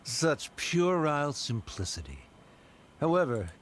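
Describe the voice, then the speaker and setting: A man's voice: a little high, sing-song, measured pace, slight background noise. Haughty scholarly man, varied intonation. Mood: disdainful. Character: haughty, cold scholarly father. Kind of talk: video game voice acting.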